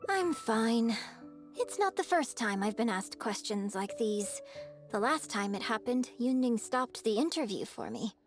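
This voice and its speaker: An actress playing a polite, earnest young opera star in a video game, speaking in a poised, modest way. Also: female voice, on the high side, lively intonation, unhurried, faint music behind. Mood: composed, resigned.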